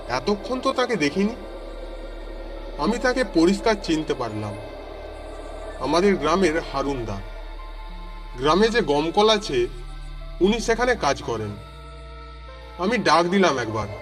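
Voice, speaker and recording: A man voicing a village traveller who narrates his suspenseful walk home as a dramatic first-person audio story. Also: a little high. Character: male villager walking home through a storm, narrating.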